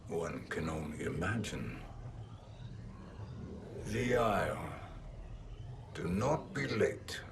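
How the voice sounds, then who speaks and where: Scheming male sorcerer for game cinematic: deep-leaning voice, unhurried, smug menace, formal diction. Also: expressive, background noise. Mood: sly, menacing. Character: scheming male sorcerer villain, smug, calculating, coldly ambitious. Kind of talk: video game cinematic acting.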